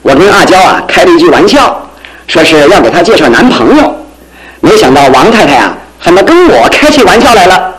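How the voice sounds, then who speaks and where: A man acting in a Chinese radio drama as an excitable, lovestruck, boastful office clerk, with an animated, exclamatory delivery. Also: high voice, a little fast, a crowd in the background, low-quality recording. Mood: bashful, chatty.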